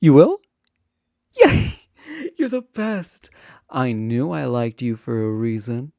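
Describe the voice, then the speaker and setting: Man playing a slow, mellow, flirty college guy. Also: medium pitch, sing-song, vocal fry, no room sound, studio quality, exaggerated voice. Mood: delighted.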